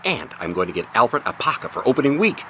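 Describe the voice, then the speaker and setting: A man acting a smooth, self-important restaurateur in a radio drama, with an expansive salesman's manner. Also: medium pitch, very animated, fast talker, sharp tone, slight background noise. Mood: proud, selling.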